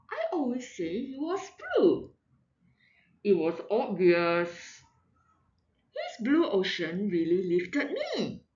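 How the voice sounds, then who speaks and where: A woman voicing opinionated, fussy crayon gossips in a children's storybook, with brisk, exaggerated, judging delivery. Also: mid-range voice, roomy sound, lo-fi sound.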